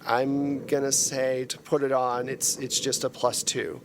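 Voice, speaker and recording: A man's voice: mid-range voice, little pitch movement, unhurried, background noise. A man casually narrating and running a tabletop role-playing game as game master.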